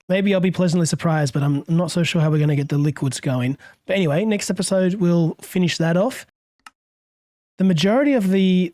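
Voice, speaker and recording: A hoarse man, a little under the weather, casually musing aloud as he narrates his game session and chuckling often. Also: high-pitched, warm tone, no echo, clean studio sound. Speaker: let's play host. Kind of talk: gameplay commentary.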